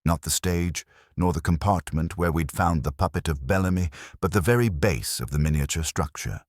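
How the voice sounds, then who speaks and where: Very deep-voiced male mystery narrator. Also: dry recording, clean studio sound. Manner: measured, formal storytelling. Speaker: audiobook narrator. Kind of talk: dramatic scripted mystery narration in first person.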